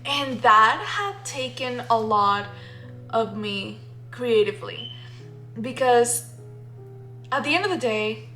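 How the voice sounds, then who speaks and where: A woman's voice: on the high side, fairly bright tone. A young woman from the Dominican Republic, talking candidly and laughing often as she shares her painting process in a casual vlog. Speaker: painting vlogger. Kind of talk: casual reflective vlog narration. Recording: light music behind.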